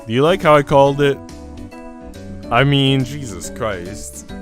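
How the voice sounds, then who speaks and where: A man's voice: mid-range voice, a little slow, faint music behind. A young man, rambling informally as he livestreams a visual novel, switching between slangy chat and reading aloud.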